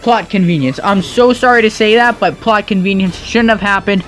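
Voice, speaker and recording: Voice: medium pitch, normal speed, a bit bright. A commentator picking apart game cutscenes in an argumentative, exclamatory comedic style, with music behind. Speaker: video commentator.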